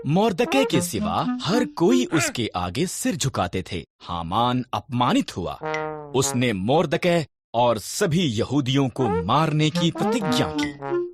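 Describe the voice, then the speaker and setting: A narrator telling scripted Bible stories for children in a deliberate storytelling delivery. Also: mid-range voice. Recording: background music under the narration. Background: music.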